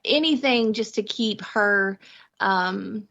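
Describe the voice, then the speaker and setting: A woman's voice: medium pitch, slow, creaky, a bit bright, dull audio. A middle-aged woman telling personal anecdotes casually as a guest, with a wry manner and laughter in her talk. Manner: wry, laughing as she talks. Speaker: podcast guest, prepper and mother.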